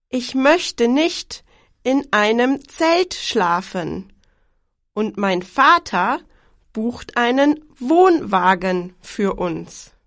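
A woman from Germany reads scripted exam sentences at a deliberately slow pace. Her pitch sits a little high and moves a lot. The recording is close and dry. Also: a bit bright, dry recording. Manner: slow, clearly articulated reading.

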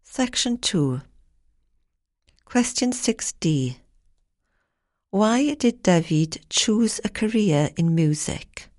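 Brief: female exam announcer, very slow, lively intonation, dry close sound. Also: mid-range voice, no echo. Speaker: exam instructions reader. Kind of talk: reading test instructions.

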